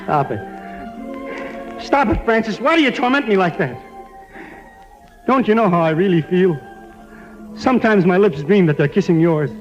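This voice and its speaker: An actor playing a poor, tormented young lover with overwrought delivery in a 1940s radio melodrama parody. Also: male voice, high voice, lively intonation, normal speed, long pauses, lo-fi sound, faint music behind. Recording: old 1940s radio broadcast recording.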